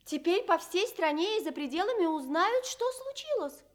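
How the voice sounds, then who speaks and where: A haughty young princess with an extremely high voice. She is stubborn and capricious, speaking with agitated emphasis in the theatrical manner of a fairy-tale radio play. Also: lively intonation, moderate pace. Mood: agitated, defiant.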